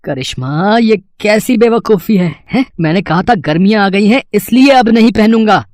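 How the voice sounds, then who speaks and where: A man voicing an irritable, ambitious young husband with a brisk, exasperated manner in a cartoon story. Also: sits high, lively intonation, darkish tone, no echo, clean studio sound. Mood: annoyed. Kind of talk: Hindi moral story cartoon acting.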